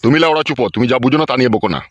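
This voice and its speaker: A man's voice: medium pitch, rapid-fire, lo-fi sound, heavy background noise, natural voice. A man voicing a greedy, foul-mouthed village uncle in a comedy cartoon, scolding in crude slang. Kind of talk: Bengali comedy cartoon dubbing.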